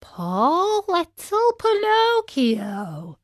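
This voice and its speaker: Woman as a slow, pitying little glowworm. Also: mid-range voice, lively intonation, dry recording, studio quality. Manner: gentle, moralizing. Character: pitying, gently scolding little female glowworm. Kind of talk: children's storybook character voice.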